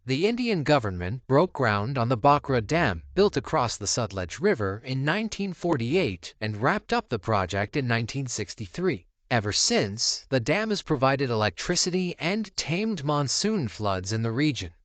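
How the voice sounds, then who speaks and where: Guy doing scripted video voiceover, really animated pitch, dry close sound. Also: moderate pace, hardly any pauses, no room sound. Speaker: narrator of a viral video compilation. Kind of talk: scripted narration.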